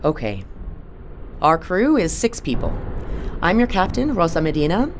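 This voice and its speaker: Low-voiced, authoritative starship captain. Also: female voice, sing-song, noisy background. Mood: businesslike. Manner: measured, businesslike. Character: composed, authoritative woman captain of a colony spaceship. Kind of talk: naturalistic audio drama acting.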